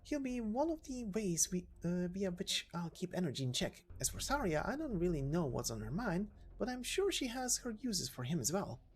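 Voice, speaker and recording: A woman playing a lively, scheming female director. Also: on the low side, normal speed, few pauses. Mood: playful, probing. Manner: persuasive, teasing, matter-of-fact. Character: smooth scheming female corporate director, playful and businesslike. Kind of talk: dramatic game story reading.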